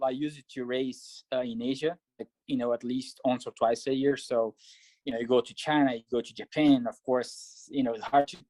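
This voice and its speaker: A man talks through his answers in a measured way, pausing on fillers as he thinks. His voice sits at a middle pitch for a man. The sound is dry and close, with no room echo. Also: a little mellow. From Brazil. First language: Portuguese. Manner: many fillers, thoughtful and measured. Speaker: pro triathlete and coach. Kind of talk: casual interview answers.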